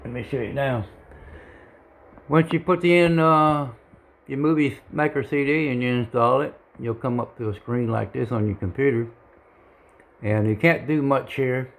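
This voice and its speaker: A man giving an informal hands-on tutorial in a halting, plain-spoken, self-deprecating way, recorded indoors on a handheld device. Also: mid-range voice. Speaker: hobbyist video tutorial presenter. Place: indoors at a home radio bench. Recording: clear background noise, room ambience.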